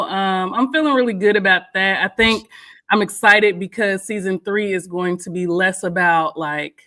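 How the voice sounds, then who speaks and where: A woman's voice: medium pitch, sharp tone. A woman co-hosting a morning show, chatting casually and laughing often. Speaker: radio show co-host and podcaster.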